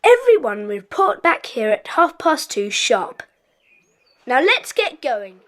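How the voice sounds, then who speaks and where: A polite schoolboy in charge of his club in a children's audio adventure. The voice is high and lively, with a brisk, decisive manner. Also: exaggerated voice.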